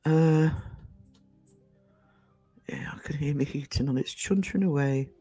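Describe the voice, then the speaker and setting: A man, croaky from just waking up, rambling chattily to his live-stream viewers from home and greeting them by name. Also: on the high side, unhurried, mellow, faint music behind. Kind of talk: casual live-stream chat. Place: indoors at home. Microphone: desk microphone.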